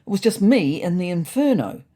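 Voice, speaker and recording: Woman as a husky, wry male house cat. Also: on the low side, big pitch swings, moderate pace, dark tone. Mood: wry, matter-of-fact. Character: fussy, indignant male house cat narrating his diary. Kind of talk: first-person storybook narration.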